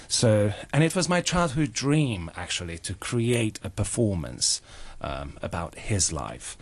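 The Hungarian actor you would hear as a morning radio guest, a man with a slightly low voice, telling his story earnestly and choosing his words with care. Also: big pitch swings, unhurried, dry recording. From Budapest, Hungary. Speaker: interview guest, actor and playwright. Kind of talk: personal storytelling in a radio interview.

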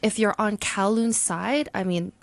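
A woman's voice: mid-range voice, steady tone, a little slow, gravelly, no echo. A woman from Hong Kong speaking as an interview guest in an informal, agreeable, conversational way.

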